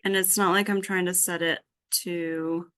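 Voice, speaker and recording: Woman teaching a coding workshop, slow pace, bright voice, kinda working it out out loud. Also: lowish voice, little pitch movement. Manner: informal, tentative, thinking aloud. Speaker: workshop instructor. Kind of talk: live coding demonstration, thinking aloud. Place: indoor group workshop session.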